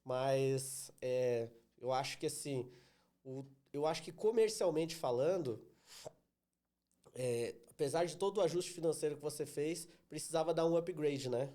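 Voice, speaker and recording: Host, male, Santa Catarina accent, a bit high and mellow, has a cold, chatty storyteller. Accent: Santa Catarina Brazilian Portuguese. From Santa Catarina, Brazil. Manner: chatty, storytelling, slows down to be understood. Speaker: podcast host, sales trainer. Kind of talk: casual interview chat.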